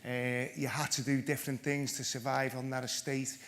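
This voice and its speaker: A man's voice: mid-range voice, level tone, normal speed, fairly bright tone. A man giving a conference talk, telling his own story in a blunt, self-deprecating and joking way. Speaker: panel speaker, former PE teacher.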